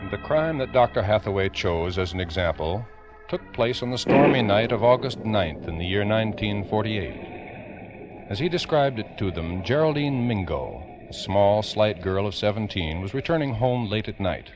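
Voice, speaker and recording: An American man narrating a 1950s radio crime program in a grave, deliberate, dramatic manner. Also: lowish voice, lively intonation. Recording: dull vintage radio recording with music.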